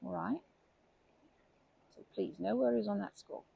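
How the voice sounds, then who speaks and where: A woman playing a kindly, protective grandma in an ASMR comfort roleplay, speaking gently and hesitantly with fond pet names. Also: mid-range voice, measured pace, highs cut off, full character voice. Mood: tender, encouraging. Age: older. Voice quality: creaky, husky.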